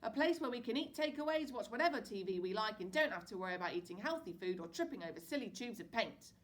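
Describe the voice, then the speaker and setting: A woman voicing a brash, upbeat father who turns gruff when pushed. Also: mid-range voice, animated, quick pace, pitched above the performer's own voice, lightly acted. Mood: jolly.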